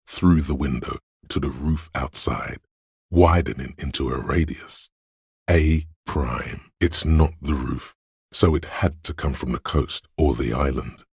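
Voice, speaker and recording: A man voicing a detective's analytical inner voice for a video game, in precise, measured, dryly observant narration with a gravelly, husky tone. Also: a bass voice, no echo, clean studio sound, full character voice. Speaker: game voice actor.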